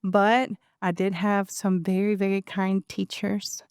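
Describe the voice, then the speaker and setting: A Venezuelan woman in her forties tells her story with lively, animated energy. Her voice is smooth and resonant, at a middle pitch. The sound is close and dry, with no room around it. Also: measured pace, no echo. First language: Spanish. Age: 40s. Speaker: podcast guest. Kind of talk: conversational interview.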